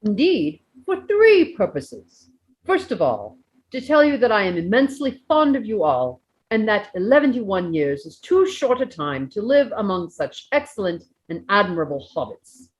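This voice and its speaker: A woman voicing an elderly male hobbit making a jovial, deliberate birthday speech in a storybook reading. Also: mid-range voice, sing-song, natural voice, poor audio. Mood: ceremonious, measured. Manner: oratorical, deliberate.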